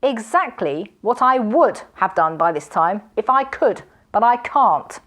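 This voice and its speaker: A woman voicing a furious, pompous male magician who shouts and mocks in a storybook reading. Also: medium pitch, sing-song, unhurried. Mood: scornful. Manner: shouting, ranting, mocking.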